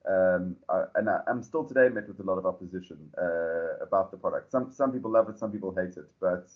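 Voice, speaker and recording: South African man, founder being interviewed, lowish voice, rambling delivery, dull lo-fi sound. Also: fairly quick. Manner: relaxed, rambling with many fillers and restarts. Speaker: startup founder and CEO. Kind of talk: podcast interview.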